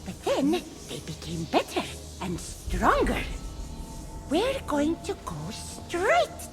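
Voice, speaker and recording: A woman playing a childlike, insecure and petulant goddess in a video game, speaking in halting, pleading phrases. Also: high voice, wildly swooping pitch, heavily acted. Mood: stern, insistent. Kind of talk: video game cutscene acting. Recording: game music behind the voice.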